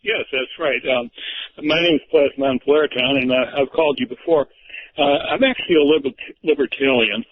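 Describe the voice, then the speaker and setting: A man's voice: mid-range voice, a little fast, slight background noise. A man speaks as a caller on a radio show over a thin, phone-like connection. He is hesitant, stammering as he begins his phrases.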